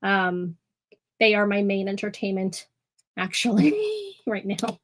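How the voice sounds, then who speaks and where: A woman's voice: medium pitch, fairly even intonation, a little slow. A woman hosting an art livestream, chatting casually with viewers, rambling with lots of ums and restarts and easy laughs. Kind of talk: casual chat with live chat. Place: home room. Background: soft music.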